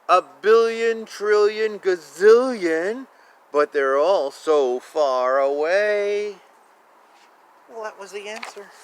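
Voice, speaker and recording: A man reading a picture book aloud to young children, playful and chatty, with exclamations and asides. He stretches words for emphasis. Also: high voice. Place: outdoors. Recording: outdoor ambient noise, faint. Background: faint outdoor ambience.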